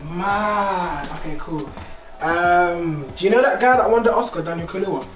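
A man's voice: high voice, a little slow, a bit bright. A young man with a British accent, chatting in casual slang as a dating show contestant. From Ghana. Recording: echoey, distant, faint music behind.

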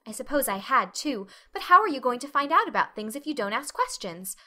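A woman's voice: high-pitched, very animated, a little fast, bright, forceful tone, heavily acted. A woman voicing a talkative, dreamy, dramatic orphan girl with an earnest, effusive manner in an audiobook. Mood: eager, happy.

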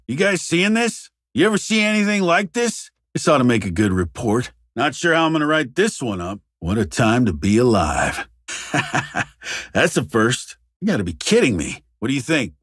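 Casting: a jaded tactical cop for a shooter game, husky and rough, casual wisecracks, lively pitch movement, very dry recording. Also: male voice, dry recording. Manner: casual, muttered wisecracks with swearing. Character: jaded male police SWAT officer, wry and foul-mouthed. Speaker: video game squad member. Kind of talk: video game character barks.

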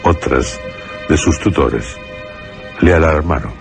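Extremely deep, gravelly storyteller. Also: male voice, music in the background, heavily acted. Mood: solemn, ominous. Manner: measured, solemn, broken into short phrases. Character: solemn male storyteller of a gothic tale. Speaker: narrator. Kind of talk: scripted radio drama narration.

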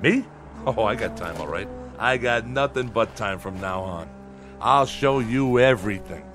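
Bashful, eager streetwise jazz musician. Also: male voice, lowish voice, lively intonation, fairly bright tone, faint music behind. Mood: eager, smitten. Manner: informal streetwise talk, halting and eager. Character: self-deprecating eager jazz trumpeter, smitten New York man. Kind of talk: radio drama acting.

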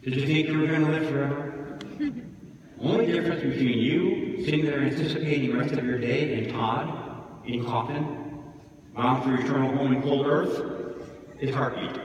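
A man's voice: medium pitch, fairly even intonation, moderate pace, long pauses, pitched above the performer's own voice, natural voice. A man voicing an intense, hectoring priest who preaches about death, in a live story reading in a hall. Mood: fierce, urgent. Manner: fiery, hectoring, rhetorical questions. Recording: echoey hall from far off, faint noise.

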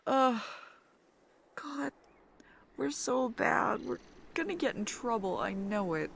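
A young woman doing a soft, playful, teasing ASMR girlfriend roleplay. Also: a little high, animated, slow, vocal fry, a little hiss or hum.

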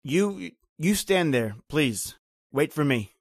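A man's voice: fairly high pitch, animated, takes it slowly, dry recording, studio quality, exaggerated voice. A man playing a stoic, evasive boatman in an old-time radio horror drama, speaking tersely and repeating himself. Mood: stoic, ominous.

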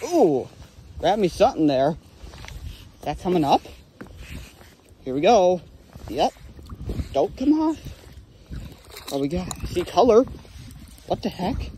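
Excitable young man outdoors on a dock. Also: sits high, slight background noise. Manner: excitable, exclaims over finds. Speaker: magnet fishing vlogger. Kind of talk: excited casual commentary. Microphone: handheld phone or body camera.

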